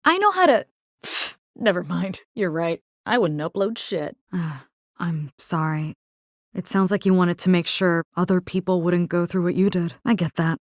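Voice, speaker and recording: A woman's voice: fairly low pitch, no room sound, clean studio sound, close to an everyday voice. An actress playing a caring, tough young woman survivor in a video game, talking in a casual, wry way. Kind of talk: video game character dialogue lines.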